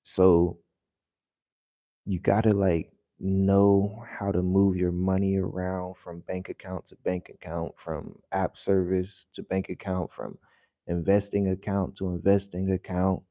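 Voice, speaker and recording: A man who has just woken up, his voice deeper than usual, talking casually and rambling to viewers in a vlog with lots of fillers. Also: flat delivery, unhurried, no room sound, highs cut off. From United States. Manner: rambling, loose and conversational with many fillers. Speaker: vlogger. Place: home in the early morning.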